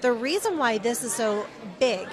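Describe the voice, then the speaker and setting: Female field correspondent, fairly high register, effusive and conversational, interviewing with a clear crowd around. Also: lively intonation, normal speed. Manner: effusive, supportive of guest. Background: crowd at an event.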